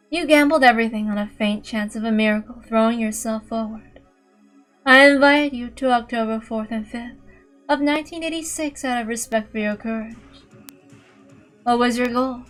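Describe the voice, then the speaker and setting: Woman as a witch, cold, cruelly composed, condescending, measured delivery, high female voice, visual novel, background music. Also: fairly bright tone, natural voice, poor audio. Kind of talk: dramatic visual novel reading.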